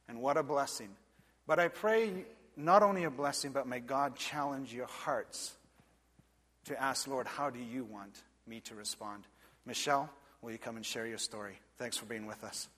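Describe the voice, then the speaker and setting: A man introduces a guest from the pulpit in an emphatic, preacherly way. His voice sits at an ordinary male pitch. It echoes with the long reverb of a big church hall. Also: fairly bright tone. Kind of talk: sermon introduction. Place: church sanctuary.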